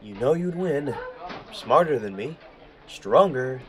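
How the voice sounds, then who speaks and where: A man playing an affable, self-deprecating high school boy in an audio drama, easygoing and chatty. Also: expressive, takes it slowly, crowd noise. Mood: friendly banter.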